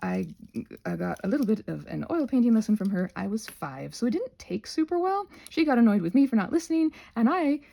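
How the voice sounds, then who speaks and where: A woman gives a casual craft lesson in a mellow voice with a crackly edge. Her intonation moves up and down a great deal. She rambles playfully, breaking off her own sentences for little asides. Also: a little high, big pitch swings, brisk, vocal fry. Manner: scattered, self-interrupting, trailing off into asides. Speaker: host of a craft tutorial channel. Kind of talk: rambling, informal hands-on craft tutorial.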